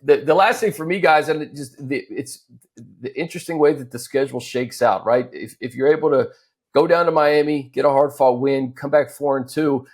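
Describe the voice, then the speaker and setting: A man's voice: mid-range voice, a little fast, darkish tone. An American man hosting a casual, unscripted sports talk show with his co-hosts. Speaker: host.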